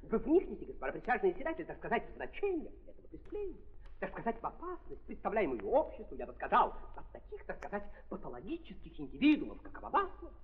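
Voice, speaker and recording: An actor playing a pompous prosecutor making a florid, theatrical speech to a jury in old radio theatre style. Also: male voice, sits high. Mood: solemn, urging. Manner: theatrical, rhetorical, repeating filler phrases grandly. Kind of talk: 1930s radio theatre courtroom oration. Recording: tinny, narrow-band 1930s radio recording.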